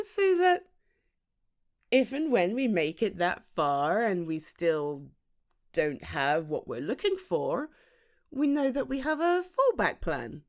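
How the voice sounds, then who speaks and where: A woman acting as a British female best friend in an intimate audio roleplay. She is playful, teasing and affectionate, with very animated pitch and an unhurried pace. Her delivery is natural and halting, with a giggly edge. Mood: earnest, playful. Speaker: ASMR roleplay voice performer.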